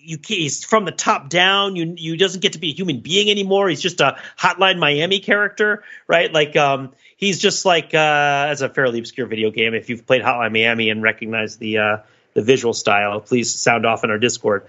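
A man co-hosting a casual, analytical film discussion, talking in an animated, enthusiastic way with many rambling asides. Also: a little high, moderate pace, dull audio. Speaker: podcast co-host.

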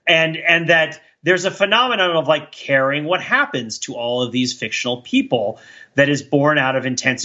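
A man's voice: a little high, bright, forceful tone, muffled recording. A man co-hosting a podcast, talking through pop-culture ideas in a casual, analytical way, discursive and hedging as he thinks aloud. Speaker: podcast co-host.

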